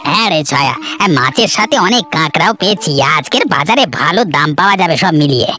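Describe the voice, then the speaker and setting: A woman's voice: deep voice, lively intonation, quick pace, bright, forceful tone. A woman voicing a friendly young male village fisherman in a cartoon, chatty and familiar in manner. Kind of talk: Bengali cartoon voice acting. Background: faint music.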